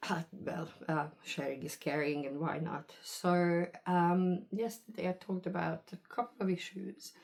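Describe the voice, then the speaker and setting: A woman speaks to camera in a deep, low voice. She talks slowly and meanders, pausing and hedging her points. It sounds like an unscripted personal vlog. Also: gravelly, a little mellow. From Hungary. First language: Hungarian. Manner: rambling, hesitant, hedging. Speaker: vlogger. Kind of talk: casual monologue to camera.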